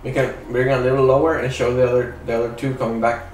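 A man's voice: mid-range voice, level tone, rapid-fire, a little mellow, slight background noise. A young man chatting informally as he works through drawing ideas, checking that others follow him. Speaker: manga artist, show host. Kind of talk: casual chat while drawing. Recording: echoey room, distant mic, music behind.

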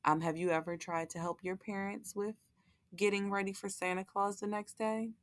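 A woman's voice: mid-range voice, level tone, normal speed, dry recording. A woman reading a children's book aloud, with folksy, casual asides to her young listeners.

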